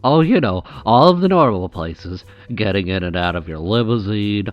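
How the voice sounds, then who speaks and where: A man's voice: lowish voice, lively intonation, normal speed, dull audio, faint music behind, natural voice. A man playing a nervous, adoring, creepy superfan with a hesitant, awkward, eager manner in a comedic audio drama. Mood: eager, infatuated.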